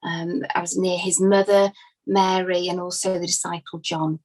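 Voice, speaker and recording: A woman's voice: fairly low pitch, flat delivery, moderate pace, darkish tone, close to an everyday voice, low-quality recording. A woman playing a devoted, grieving female follower giving hesitant, conversational testimony in a dramatized inquest. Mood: earnest, devoted.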